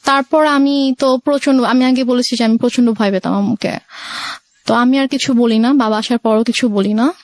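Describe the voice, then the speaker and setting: A woman's voice: on the high side, fairly even intonation, moderate pace, no echo. A woman in her twenties with a Bangladeshi accent, telling her life story in a radio interview and mixing in occasional English words. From Sirajganj, Bangladesh. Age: 20s.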